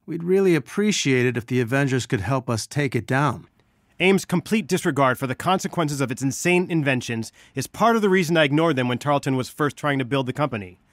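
An actor playing an earnest scientist who leads a resistance, guiding heroes through video game missions in a measured, dryly wry way. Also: male voice, mid-range voice, expressive, no room sound, clean studio sound, close to an everyday voice. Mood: focused, wary, then regretful, grateful.

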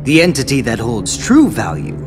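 A cold, condescending scholar speaks in measured riddles, his pitch swinging widely over each line. Loud, tense music plays under him in the game cutscene. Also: wildly swooping pitch. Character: scheming robed male scholar, cold and condescending. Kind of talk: video game cutscene dialogue.

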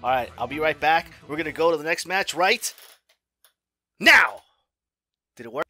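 A man in his forties commenting on his own online fighting game matches, swinging from low mutters to loud yells, laughing and cursing at himself and his opponent. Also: expressive, normal speed. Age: 40s. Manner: profane, self-mocking trash talk. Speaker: gaming YouTuber. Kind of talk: live gameplay commentary.

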